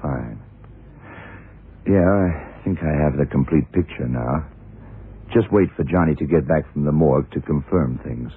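An actor playing a blunt, self-assured police detective in a 1970s radio drama, questioning deliberately and methodically. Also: male voice, rumbling low, narrow, tinny audio. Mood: probing, matter-of-fact. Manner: deliberate, probing, punctuated with yeah and right. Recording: noisy, band-limited old radio recording.

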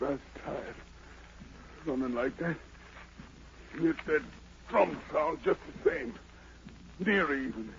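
An actor playing a frightened, boastful fugitive emperor with a gravelly American voice in 1940s radio drama, theatrically muttering to himself and crying out. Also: male voice, on the high side. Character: fleeing self-made emperor, frightened, boastful, haunted, superstitious fugitive. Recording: noisy, muffled 1940s radio broadcast.

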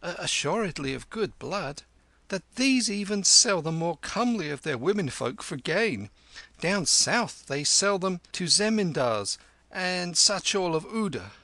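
A sly young beggar boy, coaxing and flattering, as a man puts him on. The voice rises high and lively, bright at the top, and is heard close and dry. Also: a little slow, no room sound, full character voice. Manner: wheedling, flattering. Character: cunning young street boy, wheedling.

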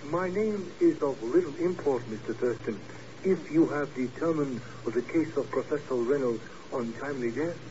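Man acting a smooth, mysterious radio stranger. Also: medium pitch, dark tone, natural voice. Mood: silkily ominous. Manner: ornately formal, measured politeness. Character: suave, mysterious, menacingly courteous male stranger. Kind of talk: 1950s radio drama acting. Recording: muffled, faintly noisy 1950s radio phone call.

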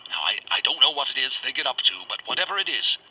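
A man playing an earnest, fearful older rural man from Vermont, dictating a formal, halting letter in a radio drama. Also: medium pitch, sing-song, sharp tone, husky, exaggerated voice. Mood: uneasy, insistent. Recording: noisy old dictation cylinder recording.

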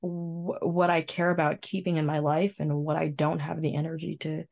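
Female, twenties, distinctly low pitch, reflective and informal podcast co-host delivery. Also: little pitch movement, moderate pace, creaky, a little mellow. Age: 20s. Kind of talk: casual chat.

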